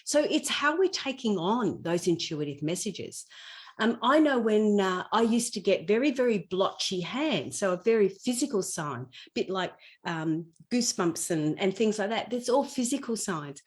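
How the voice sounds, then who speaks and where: A woman speaks at an average pitch for a female voice. She explains in a conversational teaching style, as a guest on a podcast would. She illustrates her points with personal stories and everyday examples. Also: expressive, moderate pace.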